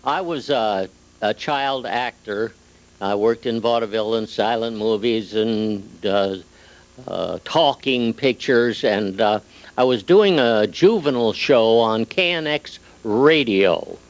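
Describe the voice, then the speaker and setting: An older man reminiscing in an interview, with a halting, unhurried manner. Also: lowish voice. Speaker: retired radio sound effects artist.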